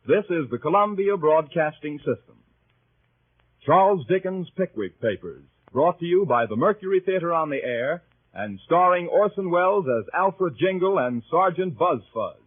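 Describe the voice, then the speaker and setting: A man's voice: a little high, lively intonation, moderate pace, slightly rough. A man announcing formally and with polish in 1930s radio style. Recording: tinny 1930s radio broadcast.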